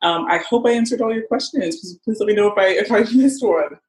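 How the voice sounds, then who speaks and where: A woman's voice: mid-range voice, a little fast, a little mellow, roomy sound, rough recording. A woman from Nigeria, a curator and writer, chatting as a podcast guest in a friendly way. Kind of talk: casual podcast chat.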